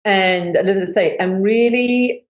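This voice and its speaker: A woman's voice: mid-range voice, slow. A middle-aged woman, a business coach, telling her story as an interview guest with lots of fillers. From United Kingdom. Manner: animated storytelling with many fillers. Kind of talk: conversational podcast interview.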